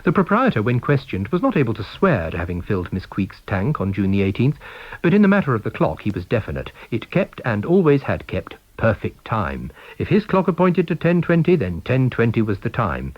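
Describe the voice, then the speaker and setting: A British man reading a story aloud as scripted narration, with expressive, measured delivery. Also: mid-range voice, lo-fi sound. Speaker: audiobook reader.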